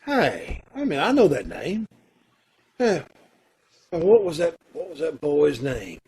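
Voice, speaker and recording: A man acting a kindly, earnest condemned prisoner in an old-time radio play, with hesitant, folksy phrasing and a gravelly, husky voice. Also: a little high, huge pitch swings, a little mellow, exaggerated voice. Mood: excited, urgent. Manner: hesitant, halting, folksy and informal.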